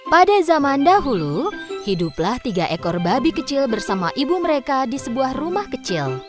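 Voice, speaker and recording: A woman's voice: sits high, sing-song, moderate pace. A woman narrating a scripted children's story as a storyteller. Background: music.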